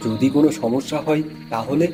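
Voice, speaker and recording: A man's voice: mid-range voice, fairly quick, music in the background, lightly acted. A man voicing an honest, humble village tea seller and husband in a cartoon moral tale, with a plaintive, earnest delivery. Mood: reluctant. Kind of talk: Bengali cartoon moral-story voice acting.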